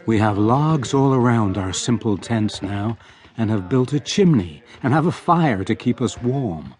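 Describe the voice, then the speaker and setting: A middle-aged actor playing a loving, earnest father who voices his letter home in a radio drama, with a husky tone. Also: mid-range voice, expressive, unhurried, darkish tone, lightly acted. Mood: fond.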